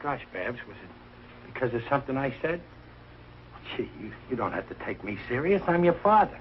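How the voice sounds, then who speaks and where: A middle-aged man playing a blustering, soft-hearted working-class American father in a 1940s television sitcom, with blunt, slangy, ungrammatical speech. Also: mid-range voice, very animated, normal speed, natural voice. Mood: downcast, pleading. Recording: noisy, dull old television kinescope soundtrack.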